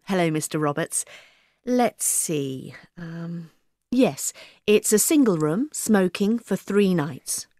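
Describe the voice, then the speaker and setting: A polite British receptionist, as an actress plays her in a course-audio dialogue. She speaks slowly and carefully, with clear, measured words. The recording is dry and close. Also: fairly low pitch, lively intonation, mellow, no echo. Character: helpful woman giving directions, hotel receptionist. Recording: language-course audio played on a call.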